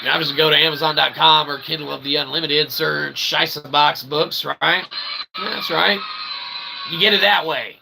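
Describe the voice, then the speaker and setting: Theatrical rambling man, creaky voice, noisy recording. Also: a little high, steady tone, moderate pace. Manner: theatrical, mock-formal wording with frequent check-in tags. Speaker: livestream host and self-published writer. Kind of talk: rambling comedic philosophical monologue to an audience. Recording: clearly noisy recording with background ambience.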